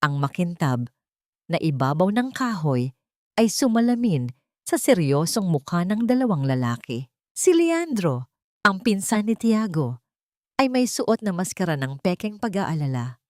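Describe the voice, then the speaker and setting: A woman's voice: lowish voice, very animated, unhurried, darkish tone, no room sound, studio quality. A woman narrating a scripted story in a dramatic style.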